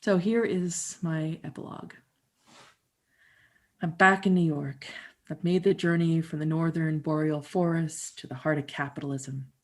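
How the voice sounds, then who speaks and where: A Canadian-born woman in her fifties tells her own story on stage as a theatrical monologue. Her voice sits a little low for a woman. She speaks intimately and conversationally, and she lets dramatic pauses hang. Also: steady tone. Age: 50s. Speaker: storyteller and musician. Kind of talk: theatrical first-person monologue.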